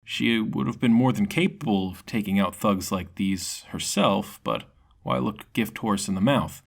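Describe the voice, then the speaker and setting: A man's voice: fairly low pitch, unhurried, gravelly. A man narrating a scripted fantasy story in a dramatic storytelling manner. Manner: dramatic storytelling with character voices.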